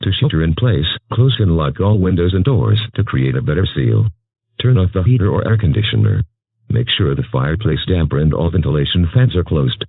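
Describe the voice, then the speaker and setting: A man announces an emergency alert in a low, gravelly voice with lively pitch movement. His reading is formal, impersonal and synthetic. It comes through a tinny, phone-like broadcast channel. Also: brisk, dry recording.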